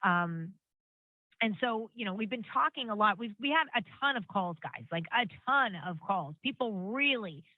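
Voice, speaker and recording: A woman's voice: mid-range voice, no echo. A woman filling in as a talk radio host, speaking in a chatty, loose way with restarts. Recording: dry tinny phone-line audio.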